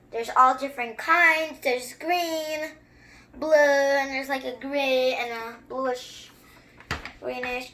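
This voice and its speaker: A young-sounding story reader who reads a picture book aloud and chats through it with expressive, wandering asides. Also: sits high. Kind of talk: children's story time read-aloud with excited running commentary.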